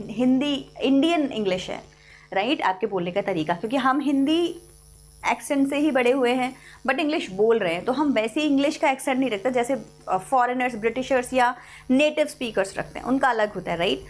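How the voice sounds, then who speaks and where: A young woman with an Indian accent teaches an English lesson, talking students through the text in a mix of Hindi and English. Her voice sits fairly high. She slows down to read English passages aloud, and soft music plays faintly behind her. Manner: explains in Hindi mixed with English, reads English lines slowly. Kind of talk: live online class explanation.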